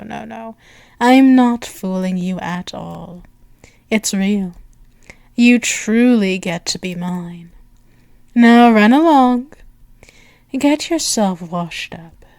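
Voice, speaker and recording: Casting: woman as regal demon princess for ASMR roleplay, possessive and seductive, unhurried medium-pitched delivery, very close dry sound. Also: a little mellow, dry recording. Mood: coaxing, possessive. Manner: slow, lingering, regal, doting endearments, often breaking into whispers. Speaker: audio roleplay performer. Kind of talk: ASMR roleplay acting, dramatic monologue.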